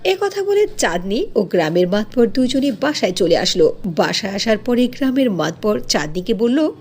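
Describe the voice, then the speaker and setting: A woman's voice: mid-range voice, very animated, moderate pace, a little mellow. A woman telling a children's cartoon story as a scripted narration.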